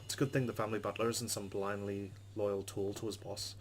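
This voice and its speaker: A man's voice: lowish voice, little pitch movement, a little fast, a little hiss or hum. A man hosting a let's play, reading game text aloud and adding casual commentary.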